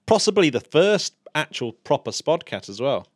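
A man hosting a space podcast in a casual, chatty way, laughing often and throwing in quick asides. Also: on the high side, sing-song, no echo, studio quality. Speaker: podcast host. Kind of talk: casual chat.

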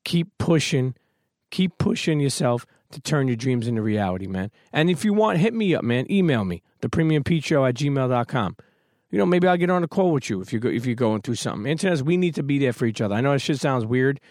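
A man hosts a podcast with a fired-up, emphatic delivery, swearing casually as he pushes his listeners to keep going. His voice sits at an ordinary middle pitch. The sound is dry and close, with no room around it. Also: sing-song, a little fast, a little mellow, no echo. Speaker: podcast host. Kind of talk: motivational podcast talk.

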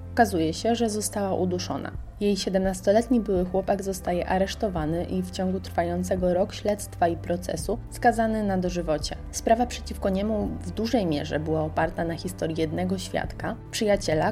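A woman radio host reading a scripted podcast recommendation at an even, measured pace. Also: mid-range voice.

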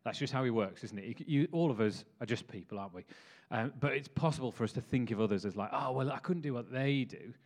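A man's voice: mid-range voice, quick pace, hardly any pauses, darkish tone. A man hosting a church meeting, interviewing a guest with many fillers and short laughs. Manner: hesitant, friendly, lots of fillers and laughs.

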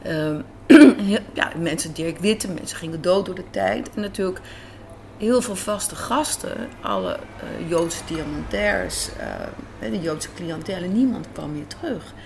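A woman's voice: on the low side, lively intonation, measured pace. A woman speaking reflectively in an interview in a café, thoughtful and searching for words. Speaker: radio drama writer and director, interviewee. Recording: faint café background noise.

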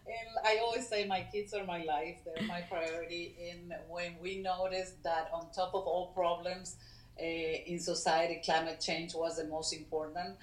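A middle-aged woman from Venezuela, a guest co-founder of a foundation, speaking natively and talking in an earnest, halting way with many fillers. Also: lowish voice, little pitch movement, roomy sound, poor audio. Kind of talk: casual interview talk.

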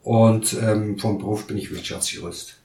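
A man in his thirties, a podcast guest, answering earnestly and haltingly with many fillers. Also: on the low side, steady tone, dark tone, slight background noise. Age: 30s. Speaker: podcast guest, council member. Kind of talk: casual interview answers. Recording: roomy echo, mic at a distance.

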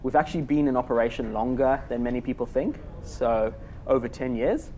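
A man's voice: medium pitch, expressive, brisk, darkish tone, slight background noise, rough recording. Zimbabwean man chatting on a panel. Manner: chatty, many "uh" fillers, laughs along. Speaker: panel guest, car share manager. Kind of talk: live panel conversation. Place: workspace event room with small audience.

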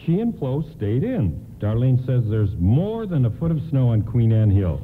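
Animated, kindly department store doorman. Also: medium pitch, moderate pace. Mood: casual banter. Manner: avuncular, reassuring, hesitating politely. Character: kindly, patient middle-aged 1950s department store doorman. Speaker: radio play actor. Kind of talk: nostalgic radio play acting. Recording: lo-fi hissy radio play recording.

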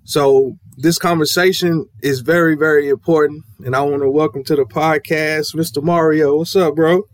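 A man from Kentucky hosting a podcast, talking in a relaxed, conversational way. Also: on the high side, moderate pace, no echo. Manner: laid-back, conversational with fillers. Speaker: podcast host. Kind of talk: casual podcast chat.

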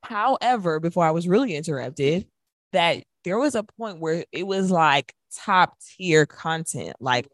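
Low-voiced American woman, dry close sound. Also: animated, measured pace, no room sound. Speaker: radio show co-host. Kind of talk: casual music talk on live radio.

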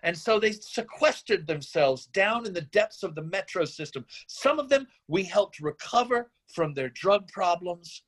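A man's voice: high-pitched, animated, unhurried, hardly any pauses, a bit bright, heavily acted. A man acting an idealistic, defensive drifter father in a screenplay reading, speechifying earnestly in a rambling way. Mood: confiding. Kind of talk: screenplay table-read acting.

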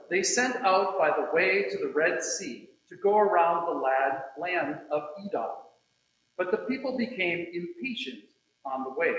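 In a very echoey room, a man with a rather high voice reads scripture aloud at a church service. His delivery is solemn and measured, taking its time over each phrase. The recording is a little dull. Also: a bit bright. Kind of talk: solemn scripture reading with short introductions. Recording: very echoey room, slightly muffled.

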